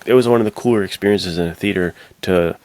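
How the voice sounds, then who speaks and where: A man's voice: sits low, quick pace, darkish tone, no echo. A young American man co-hosting a podcast, casually discussing films in slangy, opinionated talk. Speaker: podcast co-host.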